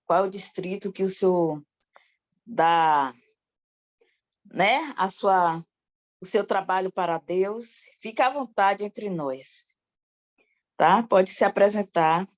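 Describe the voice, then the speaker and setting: A woman's voice: mid-range voice, slow pace, pauses often. A woman from Salvador, moderating a prayer group and politely welcoming a guest speaker over a video call. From Salvador, Bahia, Brazil. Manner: polite, respectful. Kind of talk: formal welcome and introduction. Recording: muffled video call audio.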